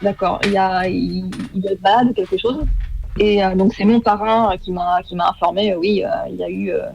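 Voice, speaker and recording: A woman's voice: medium pitch, fairly even intonation, brisk, vocal fry, a little mellow, muffled recording, a little hiss or hum. A woman in her thirties, a guest in a radio interview, speaking hesitantly with many fillers and restarts. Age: 30s. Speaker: guest, former masterclass student.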